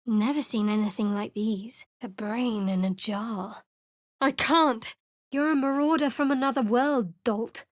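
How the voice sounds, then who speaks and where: A woman's voice: a little high, unhurried, no echo, natural voice. An actress playing a guarded young woman cleric in a video game, speaking in a dry, cutting, sardonic way. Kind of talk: video game character dialogue. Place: studio voice booth.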